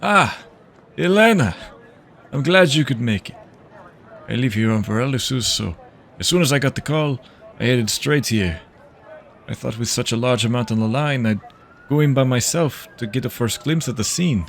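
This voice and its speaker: A man acting as a grim veteran detective in an audio drama. His voice is gravelly and mid-pitched, and he speaks with halting, worn-out gravity. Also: animated, lightly acted. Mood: relieved, subdued. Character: weary, grim veteran male detective at a crime scene.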